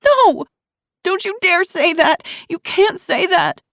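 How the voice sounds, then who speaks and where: A woman voicing a desperate teenage girl who pleads and shouts at her mother. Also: very high-pitched, normal speed, dry recording, clean studio sound, pitched above the performer's own voice. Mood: frantic.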